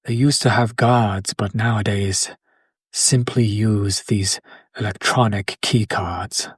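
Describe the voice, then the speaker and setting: A man's voice: lowish voice, steady tone, slow pace, husky, no room sound. A man playing a charming, old-world vampire in a romantic audio roleplay, speaking to his beloved in formal, attentive, archaic phrasing. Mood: genteel, admiring. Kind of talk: romantic audio roleplay, intimate scripted acting.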